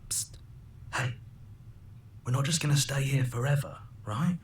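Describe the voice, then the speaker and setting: An actor playing a decent, earnest inner voice of a game hero who talks in a reasonable, conspiratorial way. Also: male voice, medium pitch, lively intonation, a little slow, close to an everyday voice. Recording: game audio with background noise.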